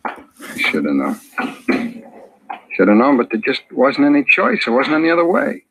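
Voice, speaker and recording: An actor playing a cocky, evasive murder suspect in an old radio crime drama, talking in insolent, hesitant slang. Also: male voice, medium pitch, huge pitch swings, moderate pace, fairly bright tone, slight background noise, low-quality recording. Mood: desperate, pleading. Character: cocky, evasive male murder suspect who turns pleading under questioning.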